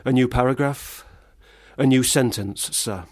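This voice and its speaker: An actor playing a troubled, self-doubting young man who drinks, theatrical, swinging between loud protest and muttering in a radio play. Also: mid-range voice, a little slow, no room sound, studio quality, lightly acted. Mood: rambling, anxious.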